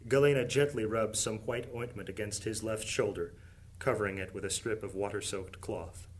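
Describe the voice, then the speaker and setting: A man giving steady, descriptive scripted narration for a fantasy audio drama. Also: on the low side, moderate pace, slight background noise.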